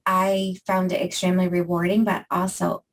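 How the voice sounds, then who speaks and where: A woman's voice: on the low side, monotone, moderate pace. Woman host, slightly distant mic. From Maine. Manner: warm hesitant questions with fillers. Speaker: podcast host. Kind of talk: interview podcast.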